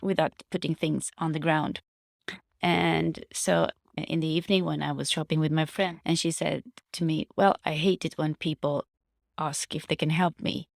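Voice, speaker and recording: A woman with a low voice shares personal reflections in a casual monologue. Her delivery has plenty of vocal fry, and she often pauses to search for words or restate a phrase. The sound is dry and close, with no room echo. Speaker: vlogger sharing personal reflections.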